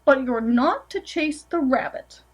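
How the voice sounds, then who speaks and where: A woman voicing a kindly but firm old boatman who speaks in slow, measured warnings. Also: sits high, lively intonation, muffled recording.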